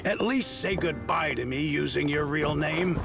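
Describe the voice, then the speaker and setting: Tired crime fixer from a game, slow croaky drawl over music. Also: male voice, a little high. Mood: wry reproach. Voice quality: gravelly. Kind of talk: game trailer voice acting. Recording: background music under dialogue.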